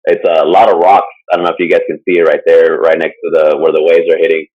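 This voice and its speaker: A man's voice: sits low, flat delivery, quick pace. A man co-hosting a casual podcast, talking through a topic in a loose, rambling way full of fillers. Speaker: podcast co-host presenting a topic. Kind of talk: casual chat. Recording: dull lo-fi sound.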